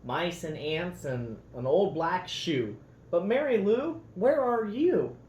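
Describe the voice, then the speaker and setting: A man plays an earnest, lovestruck slug from a children's storybook. He recites rhyming notes slowly and sincerely, with a lilting, swinging pitch in a roomy echo. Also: very animated, a little mellow, lo-fi sound, exaggerated voice. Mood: yearning. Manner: sincere, singsong rhyming delivery. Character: earnest, lovestruck male slug writing poems. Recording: roomy echo, faint background noise.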